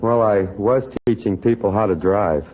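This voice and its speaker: A man's voice: on the low side, normal speed, dull audio, very noisy. An American man as a quiz contestant, telling anecdotes in a hesitant, unassuming way on a vintage radio broadcast. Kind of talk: casual anecdotes in an interview. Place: radio studio.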